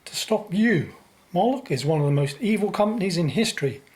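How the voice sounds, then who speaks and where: A man's voice: high-pitched, expressive, fairly quick, a little mellow. A man playing a solemn, resolute young hero. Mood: defiant. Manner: solemn, defiant. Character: resolute, self-sacrificing young male hero. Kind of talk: radio drama acting.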